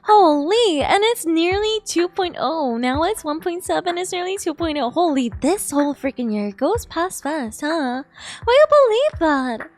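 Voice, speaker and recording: A woman's voice: very high-pitched, big pitch swings, unhurried. A woman game streamer giving live reaction commentary in a gushing, exclamatory, emotionally invested way. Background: game music.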